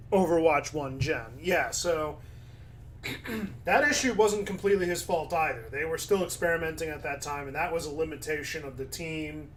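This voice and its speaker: A man's voice: on the high side, a little hiss or hum. Laid-back man with a tiring voice. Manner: laid-back, reads chat aloud and comments. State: starting to lose his voice. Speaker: streamer. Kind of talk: casual live-stream chat.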